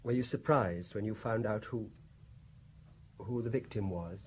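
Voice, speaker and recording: An actor playing a timid, grieving lawyer husband in a radio drama, with a hesitant, formal way of speaking. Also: male voice, on the low side, unhurried, slight background noise, lightly acted. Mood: subdued, resigned.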